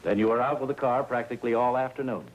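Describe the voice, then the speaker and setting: An actor playing a calm, probing police lieutenant in a 1950s TV crime drama, speaking in a measured, drily sardonic way. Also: male voice, mid-range voice, dull audio, natural voice. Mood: dry, probing. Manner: measured, dry, probing questioning. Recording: noisy 1950s TV soundtrack.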